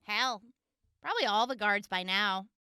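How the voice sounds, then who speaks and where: A woman's voice: medium pitch, moderate pace, bright, forceful tone, clear, resonant tone, no room sound, studio quality, close to an everyday voice. A woman playing a guarded young raider who talks in a casual, subdued way in an audio drama. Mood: reluctant. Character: guarded young female raider, overboss's daughter.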